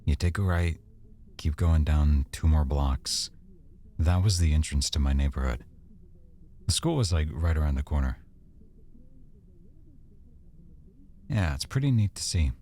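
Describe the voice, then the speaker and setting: A man playing an affectionate, easygoing boyfriend in an intimate roleplay, speaking casually and softly with hesitant pauses. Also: very deep voice, expressive, slight background noise. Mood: casual, nostalgic. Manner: soft-spoken, casual, hesitant pauses and trailing thoughts. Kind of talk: boyfriend roleplay ASMR, one-sided intimate conversation.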